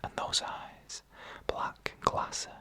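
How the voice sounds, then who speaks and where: A narrator reading scary stories in a hushed ASMR whisper, with slow, deliberate phrasing and pauses. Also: no echo. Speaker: narrator and host of a scary story show.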